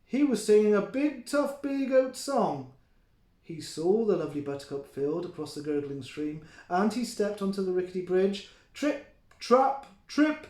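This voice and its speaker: A man tells a children's story with playful expression. His voice sits high and swings widely, with slow, deliberate phrasing, and the room adds noticeable echo. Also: sing-song, darkish tone. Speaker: early years teacher reading a story. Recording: roomy, echoing indoor sound.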